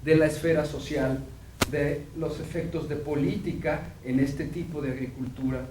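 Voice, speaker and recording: A man giving an academic lecture as a visiting professor, measured and filler-heavy. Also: fairly high pitch, steady tone. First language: Spanish. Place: university lecture hall. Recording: roomy hall, distant mic, faint hum.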